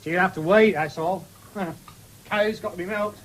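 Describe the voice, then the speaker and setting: An actor playing a young, plain-spoken farmer's son in a radio drama, with a rural English accent and a blunt, homely manner. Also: male voice, high voice, a little slow, a bit bright. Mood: cheerful, plain. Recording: faintly noisy 1970s radio recording.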